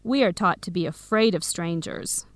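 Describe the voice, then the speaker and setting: An actress playing a teasing, protective young American woman in a radio drama, wry and clearly enunciated. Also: lowish voice, moderate pace, no echo, natural voice. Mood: curious. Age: young adult. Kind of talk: scripted radio drama dialogue.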